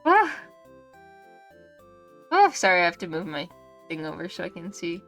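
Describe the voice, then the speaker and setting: A young woman streaming a visual novel and chatting casually, giggly and swearing freely, with a voice gone slightly hoarse. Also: fairly low pitch, sing-song, normal speed, a bit bright, soft background music.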